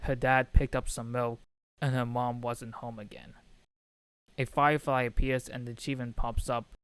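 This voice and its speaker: A man's voice: medium pitch, little pitch movement, moderate pace, a bit bright, a little hiss or hum. A man reading a scripted video essay in an informal, opinionated way, with casual asides. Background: background music.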